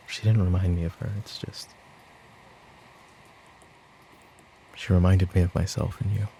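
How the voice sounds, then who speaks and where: A man playing a stern, controlling middle-aged mafia boss and protective father in an ASMR roleplay, with commanding, deliberate, menacing delivery. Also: sits low, creaky, dark tone, a little hiss or hum. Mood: weary, subdued.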